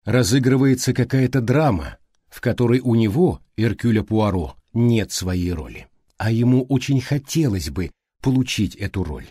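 Male audiobook narrator, dry close sound, measured literary delivery, mellow tone. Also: mid-range voice, expressive, slightly rough, dry recording.

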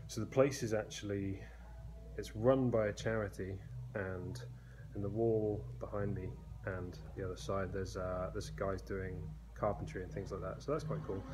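A man's voice: low voice, moderate pace. A man in his thirties walking through his workshop, filming himself on a phone held at arm's length and talking casually with many fillers. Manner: rambling and relaxed, many fillers and restarts. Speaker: blacksmith vlogger. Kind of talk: casual phone video walkthrough. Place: indoor workshop with concrete walls. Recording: noisy workshop phone recording.

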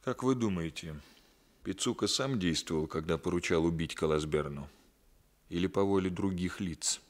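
Guy playing calm bossy police investigator, low voice, short sharp questions, old radio drama. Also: moderate pace, natural voice. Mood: firm, probing. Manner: terse, probing. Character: composed, commanding male police interrogator. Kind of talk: 1960s Soviet radio drama acting.

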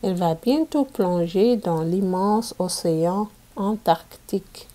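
A woman reading a picture book aloud to children as a teacher, in a deliberate manner made for learners. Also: mid-range voice, mellow. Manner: deliberate, repeats sentences for learners.

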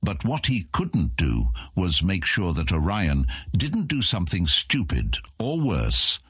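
A man narrating a fantasy audiobook in an expressive storytelling manner. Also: low-pitched, takes it slowly, slightly rough, no room sound, studio quality.

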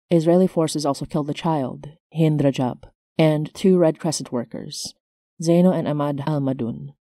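A woman's voice: deep voice, dark tone, no room sound. A woman hosting her podcast, reading scripted announcements as its creator.

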